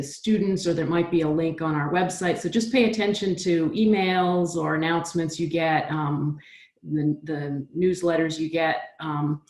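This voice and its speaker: A woman's voice: low-pitched, a little mellow. A woman speaking at an online town hall, making an informal announcement with many hesitations and fillers. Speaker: faculty member at a town hall. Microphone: video call.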